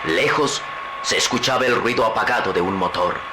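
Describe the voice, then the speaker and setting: A man's voice: lowish voice, normal speed, background noise. A man narrating a radio adventure serial in a grave storytelling manner. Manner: grave, storytelling, rising to urgent. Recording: echoey vintage radio drama with loud music.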